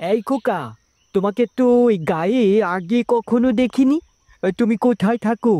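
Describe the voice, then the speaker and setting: A man's voice: very high-pitched, moderate pace, mellow, no echo. A man voicing a kindly older village uncle in a cartoon, inquisitive and paternal toward a child. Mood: sympathetic. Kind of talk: cartoon story dialogue.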